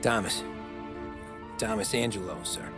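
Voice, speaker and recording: A young American cab driver, played by a male actor in a game drama. His voice is on the low side, respectful and understated, with quiet pride and resolve. Music is loud underneath. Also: fairly even intonation, a little slow, natural voice. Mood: polite, subdued. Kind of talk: cinematic video game acting.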